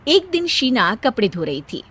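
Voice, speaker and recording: A woman storyteller with a high-pitched voice. She reads scripted narration for a domestic tale, setting out each scene between the dialogue. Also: big pitch swings, moderate pace, pushed and bright, breathy edge.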